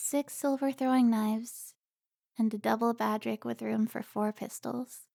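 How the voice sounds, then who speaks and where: Woman acting as a commanding, high-voiced slayer, slightly gravelly. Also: steady tone, measured pace, very noisy. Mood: solemn. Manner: commanding, scolding. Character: stern, protective female vampire slayer guild member. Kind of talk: cinematic audio roleplay acting.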